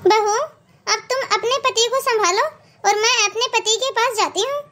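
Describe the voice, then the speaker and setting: A woman's voice: very high-pitched, normal speed, sharp tone. A woman voicing a selfish, self-pitying mother of a grown son in a cartoon, with a complaining, melodramatic manner. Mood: coaxing. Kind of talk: cartoon moral story acting.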